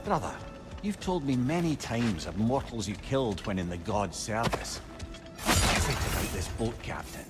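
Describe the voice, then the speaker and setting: A man's voice: lowish voice, very animated, normal speed, loud music behind the voice. An actor playing a wry, reflective old male companion in a video game, talking in an eloquent, confiding storyteller's way. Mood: gently probing. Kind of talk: dramatic video game acting.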